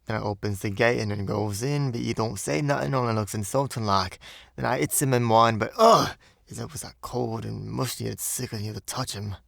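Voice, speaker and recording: A man's voice: on the low side, brisk, creaky, a bit bright, no echo, clean studio sound. A man voicing a young Cockney bellboy in an audiobook, deferential but indignant and uneasy, speaking ungrammatically. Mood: boastful, disgusted. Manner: ungrammatical, servile, peppered with "sir". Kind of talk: audiobook character voice.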